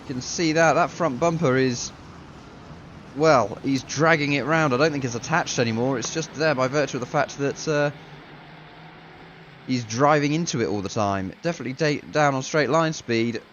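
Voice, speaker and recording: A man with a self-mocking, casual manner commentates a league sim race, a bit ill with a deeper voice than usual. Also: moderate pace, fairly bright tone, dull audio, slight background noise.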